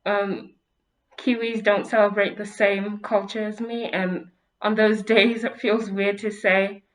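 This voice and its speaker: A woman's voice: mid-range voice, flat delivery, poor audio. A teenage girl from Zimbabwe, raised in South Africa, answering interview questions at an easy pace with some fillers. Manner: unhurried, hesitant with fillers. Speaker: student interviewee.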